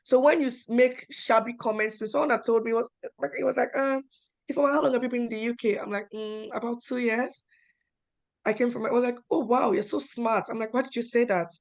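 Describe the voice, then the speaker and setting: A young adult woman from Nigeria with a Nigerian accent, telling personal stories at a talk in an animated way and acting out the dialogue. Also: a little high, a little fast, creaky. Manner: animated, acts out quoted dialogue with emphatic repetition. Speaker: panel speaker.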